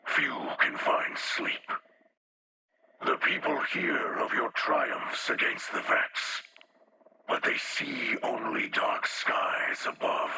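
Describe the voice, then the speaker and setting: An actor playing a solemn, weary alien leader in a video game, speaking gravely in a breathy, guttural rasp. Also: male voice, medium pitch, background noise. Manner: slow, grave, measured. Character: solemn, weary male alien leader pleading for his people. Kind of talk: dramatic game character dialogue.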